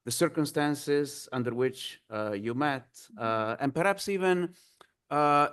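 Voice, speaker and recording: A man's voice: fairly high pitch, slow pace, a bit bright, clear, resonant tone. Hesitant man moderating, full of fillers. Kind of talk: panel conversation.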